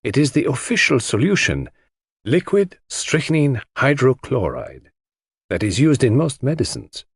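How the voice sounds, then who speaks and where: Guy doing an old belgian detective in an audiobook, very courteous and sly, voice a bit husky, swoopy pitch. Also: big pitch swings, a little slow, no echo, exaggerated voice. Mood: explanatory. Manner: precise, courtly phrasing sprinkled with French address. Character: elderly Belgian gentleman detective, enigmatic, courteous, self-assured. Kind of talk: audiobook character voice.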